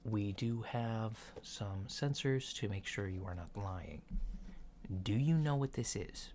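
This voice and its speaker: A soft-spoken man doing an ASMR roleplay as a calm, hesitant alien doctor, matter-of-fact and clinical. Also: fairly low pitch, takes it slowly. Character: calm, mildly awkward alien doctor giving a checkup. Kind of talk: ASMR medical checkup roleplay. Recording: lo-fi home recording with background noise.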